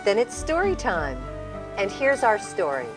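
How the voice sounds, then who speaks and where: A woman's voice: medium pitch, wildly swooping pitch, a little slow. A woman hosting a children's read-aloud TV show, giving a cheerful scripted introduction. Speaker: show host, announcer. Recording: music playing under the voice.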